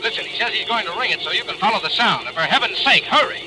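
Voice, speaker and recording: An actor playing a concerned salvage ship captain calling out urgently in 1940s radio drama style. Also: male voice, high voice, lively intonation, fast talker. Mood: urgent, alarmed. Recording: noisy old radio recording.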